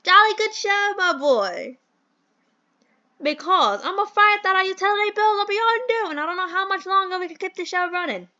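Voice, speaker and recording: A woman voicing a pompous, cheerful old-fashioned British gentleman boss in a comic audio drama, with theatrical, quaint exclamations. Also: extremely high pitch, expressive, fairly quick, lo-fi sound, heavily acted. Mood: jolly, pompous.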